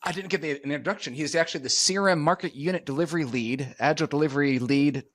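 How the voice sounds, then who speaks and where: Husky-voiced man hosting a tech podcast. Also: a little high, fast, no echo. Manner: jokey, upbeat, with filler words. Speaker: podcast host. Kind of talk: casual tech podcast chat.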